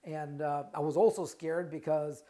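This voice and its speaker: A middle-aged man with a Russian accent talks through a live question-and-answer stream, his voice sitting a little high for a man. He speaks conversationally, often restarting and repeating words and checking in with "right?". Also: fairly even intonation, normal speed, warm tone. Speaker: trademark lawyer and company founder, show host. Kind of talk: live Q&A stream, casual talk.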